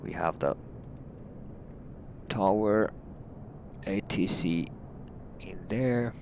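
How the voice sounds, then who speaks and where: Slow, gravelly Finnish-accented man. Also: low voice, background noise. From Finland. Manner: hesitant, many fillers, plain and friendly. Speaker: helicopter pilot. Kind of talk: casual flight-sim walkthrough.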